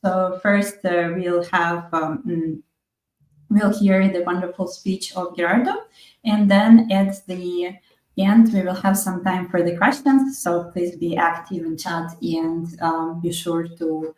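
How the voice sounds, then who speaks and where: A woman's voice: lowish voice, little pitch movement, slow pace, darkish tone. A woman hosting an online event and introducing the speaker and agenda. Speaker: webinar host. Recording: roomy, echoing online call.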